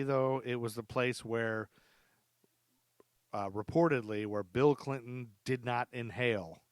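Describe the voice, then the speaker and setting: A man's voice: medium pitch, fairly bright tone. A man hosting a casual history podcast, rambling through a story and laughing often. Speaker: podcast host.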